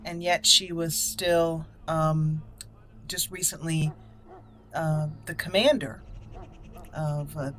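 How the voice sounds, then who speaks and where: A woman's voice: low voice, fairly even intonation, takes it slowly, pauses often, a bit bright. A woman hosting a gaming channel, giving let's play commentary with roleplay as she plays.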